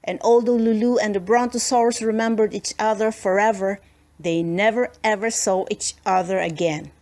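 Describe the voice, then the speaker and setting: A woman narrating a children's storybook as an expressive read-aloud. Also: mid-range voice, moderate pace.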